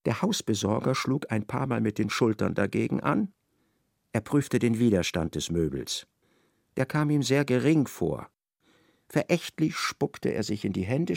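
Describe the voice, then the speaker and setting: A man reads literary narration for a radio play with dramatic expression. His medium-pitched voice moves widely up and down and often drops into a creak. The sound is dry and close. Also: a little mellow, no echo.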